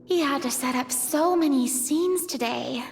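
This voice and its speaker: A frank young adventurer talks plainly and to the point. Her voice is high, with a gravelly fry. Faint music sits behind her. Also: unhurried. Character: young woman adventurer who loves the arts.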